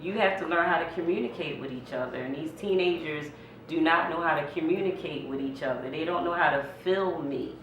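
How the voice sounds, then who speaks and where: Middle-aged American woman interviewer, conversational, very low register, off-mic in a noisy space. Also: fairly quick, fairly bright tone, lo-fi sound. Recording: echoey room, distant mic, background noise.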